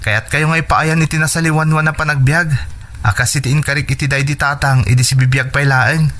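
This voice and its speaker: A bright-voiced, determined young Ilocano son. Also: male voice, on the high side, steady tone, brisk. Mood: happy, determined. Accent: Filipino (Ilocano). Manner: earnest, respectful. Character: earnest, determined young son quitting college to work. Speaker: radio drama actor. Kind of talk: radio drama acting.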